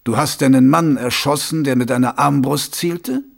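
A man voicing a blunt, gruff male commander of a city watch who speaks curtly and plainly. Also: mid-range voice, normal speed, voice pushed up. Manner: gruff and curt.

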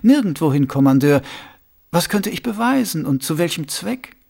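Guy reading as a silky ex-assassin ruler, calm and amused, gravelly edge, raised a bit. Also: fairly high pitch, normal speed, voice pushed up, exaggerated voice. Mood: silky, measured. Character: suave, cool-headed male city ruler, former assassin.

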